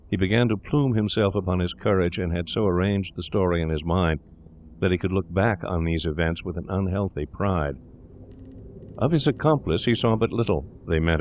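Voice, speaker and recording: A man narrating a scripted gothic story in a measured, solemn, literary manner. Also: sits low, a little mellow, a little hiss or hum.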